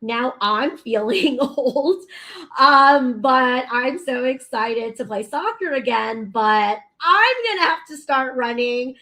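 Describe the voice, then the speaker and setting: Slow-talking bubbly woman talk show guest. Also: high-pitched, animated, pushed and bright. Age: 30s. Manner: bubbly, laughs often, chatty with many fillers. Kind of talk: casual chat.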